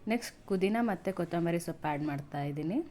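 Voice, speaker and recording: Lady doing a cooking tutorial, lowish voice, plain step by step, bit of background noise. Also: very fast, dark tone. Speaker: home cook presenting a recipe. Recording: noisy home cooking video audio.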